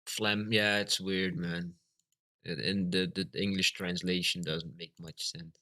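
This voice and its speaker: A Flemish man from Belgium whose first language is Dutch, chatting casually on a live stream, halting and full of fillers. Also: low voice, little pitch movement, sharp tone. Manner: halting, lots of fillers and restarts. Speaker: livestreamer.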